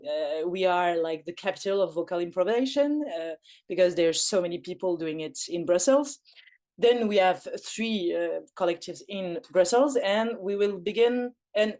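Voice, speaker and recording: A woman's voice: on the low side, darkish tone. A woman from Belgium, facilitating an online forum in English, with frequent fillers and restarts. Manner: hesitant, many fillers and restarts. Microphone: video call.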